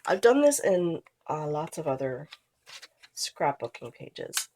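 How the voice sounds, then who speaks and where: A woman's voice: deep voice, takes it slowly, background noise. A woman in her thirties with a cold that makes her sound nasal, chatting casually through a craft tutorial and trailing off as she works. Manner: relaxed, chatty, trails off while working. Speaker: scrapbooking vlogger.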